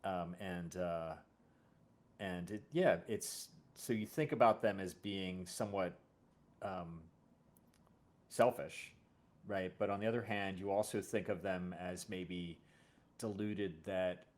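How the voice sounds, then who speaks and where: Low-voiced man, halting and thoughtful. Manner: halting, thinking aloud with self-corrections. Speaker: host and audio drama series creator. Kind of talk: casual interview chat.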